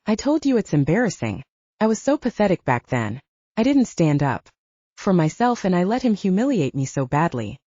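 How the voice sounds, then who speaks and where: A woman's voice: fairly low pitch, sing-song, moderate pace, warm tone, no room sound. A woman voicing a young female werewolf soldier in an audiobook, candid, self-mocking and chatty. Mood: embarrassed, reflective. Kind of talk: audiobook character dialogue. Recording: dull, lo-fi sound.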